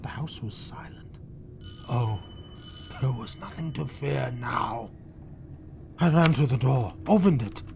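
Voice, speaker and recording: An actor playing a guilty, haunted husband in a 1940s radio drama, halting and melodramatic, narrating his own crime. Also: medium pitch, gravelly, a little hiss or hum. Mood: haunted, feverish. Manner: melodramatic, halting, swinging from hushed to frantic.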